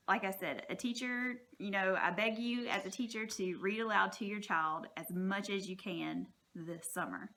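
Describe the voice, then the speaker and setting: Bright-voiced woman, encouraging teacher. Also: mid-range voice. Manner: encouraging, reassuring. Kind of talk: informal spoken advice talk to parents.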